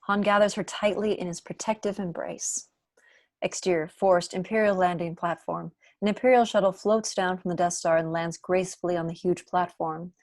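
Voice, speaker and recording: A woman's voice: lowish voice, darkish tone, no room sound. A woman reading scripted stage directions as the narrator of a table read on a video call. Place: indoor room on a video call.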